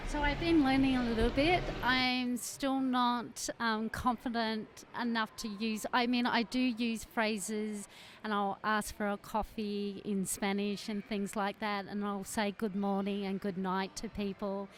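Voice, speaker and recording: A woman's voice: medium pitch, level tone, a little slow, slight background noise. A woman with an Australian accent, a travel journalist talking as a guest in a radio interview, rambling with many fillers and restarts.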